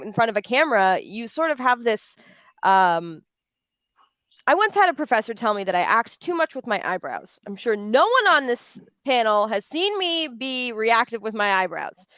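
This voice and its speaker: Woman, smooth clear voice, close dry sound, expressive panel moderator, deliberate phrasing. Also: a little high, dry recording.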